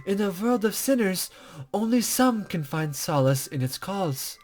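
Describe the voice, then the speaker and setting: A serene female guide to the afterlife , acted out by a man, speaks in a high, lilting voice. She is formal, knowing and gently condescending, as in a fantasy visual novel. Soft music plays behind her. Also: expressive, unhurried. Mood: solemn, knowing. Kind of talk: character reading from a visual novel.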